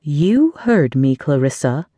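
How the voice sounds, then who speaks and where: A woman's voice: sits low, sing-song, warm tone, slightly rough, dry recording, heavily acted. Woman doing a scornful haughty aunt. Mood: lecturing. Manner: slow, disdainful, seething.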